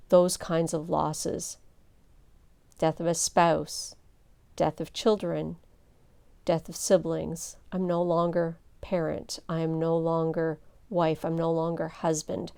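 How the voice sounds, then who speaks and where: A middle-aged woman pastor speaks in a soft, low voice with a mellow, smooth warmth and a touch of fry. She teaches gently and earnestly, pausing between thoughts. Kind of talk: teaching seminar talk.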